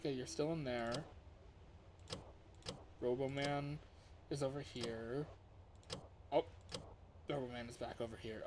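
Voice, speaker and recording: Animated man streaming a game. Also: mid-range voice. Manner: excitable, thinking aloud. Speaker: livestreamer playing a fangame. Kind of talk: live gaming commentary with reactions.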